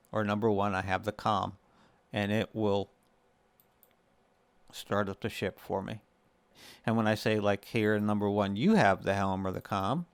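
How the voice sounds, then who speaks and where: A man's voice: on the low side, clean tone, no room sound. A man hosting a casual gaming tutorial, explaining things step by step in a conversational way. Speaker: video creator and host.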